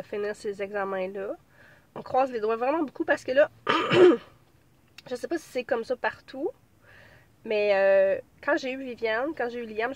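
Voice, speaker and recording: A woman in her thirties with a Québécois French accent talks casually from inside her car. She has a cold and sounds a little stuffy. She rambles and restarts, with plenty of fillers. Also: fairly high pitch. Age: 30s. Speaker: pregnancy vlogger. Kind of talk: casual vlog chat.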